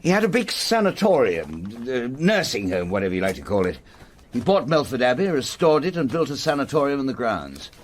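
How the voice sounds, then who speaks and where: An actor playing a forthright, self-assured middle-aged English knight with an upper-class accent in a radio drama. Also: male voice, mid-range voice, very animated, fairly quick, slight background noise, close to an everyday voice. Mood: puzzled.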